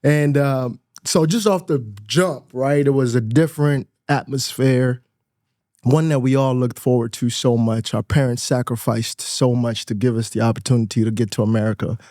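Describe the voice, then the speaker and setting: A man's voice: mid-range voice, fairly even intonation, moderate pace, darkish tone, dry recording. A man in his thirties from Nigeria, a guest in a studio interview, speaking in a reflective way, laughing often and repeating words as he thinks. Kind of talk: casual interview chat.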